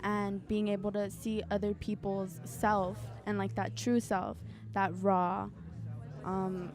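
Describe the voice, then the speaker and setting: Even-toned woman searching for words. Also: medium pitch, slow, soft background music. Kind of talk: casual reflective interview answer.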